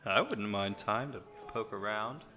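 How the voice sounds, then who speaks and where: A man's voice: on the low side, expressive, a little slow, sharp tone, in a large hall, faint music behind. A man playing a cocky, flirtatious wisecracking operative in an audio drama, with a glib, playful manner. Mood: teasing.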